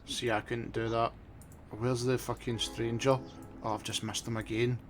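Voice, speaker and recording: A man's voice: medium pitch, flat delivery. Sweary Scottish man, slightly noisy stream sound. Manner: sweary, halting with repeated words. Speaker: podcast and stream co-host. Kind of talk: casual chat during a gaming stream.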